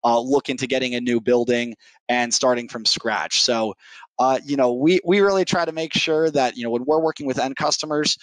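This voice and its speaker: Guy in his 30s answering business questions on a headset, dry sound, medium pitch. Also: fairly even intonation, moderate pace, no room sound, rough recording. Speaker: technical sales executive, podcast guest. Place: home living room, indoors, on a video call. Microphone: headset mic.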